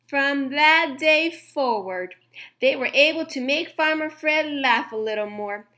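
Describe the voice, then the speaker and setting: Bright lively lady reading a kids story, slow and gentle. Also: high-pitched. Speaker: teacher reading aloud. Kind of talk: children's storybook read-aloud.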